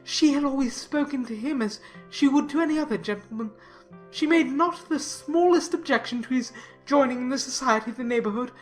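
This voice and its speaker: A woman's voice: high voice, pitched above the performer's own voice, lightly acted. A woman voicing a pompous, self-important and obsequious clergyman in a dramatized classic novel, speaking in wordy, formal sentences. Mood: smug, reverent.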